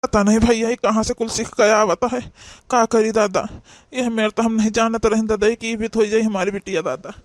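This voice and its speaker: A man's voice: high-pitched, steady tone, fairly quick, no echo, natural voice. A man with an Awadhi accent playing a worried, bewildered cartoon father, speaking in a plaintive, fretful way. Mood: distressed. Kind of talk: amateur comedy cartoon dialogue.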